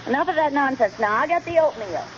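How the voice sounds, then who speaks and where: Radio sitcom mom: high voice, brisk and mock-reproachful, muffled vintage recording with heavy hiss. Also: female voice, expressive. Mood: gently scolding. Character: bright young housewife and mother, fondly scolding. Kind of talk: 1940s radio sitcom acting.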